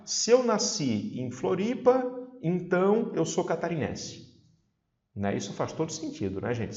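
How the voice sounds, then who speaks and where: Animated southern Brazilian man teaching, roomy sound. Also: mid-range voice. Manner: chatty, didactic, frequent check-in questions. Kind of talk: informal exam-prep logic lesson. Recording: roomy echo, slightly dull sound.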